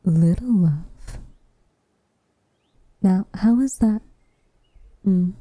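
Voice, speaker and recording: A woman playing a reserved, tenderly affectionate witch in a whispered ASMR roleplay, with soft breathy delivery and measured, formal phrasing. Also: medium pitch, dry recording. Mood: gentle, tentative.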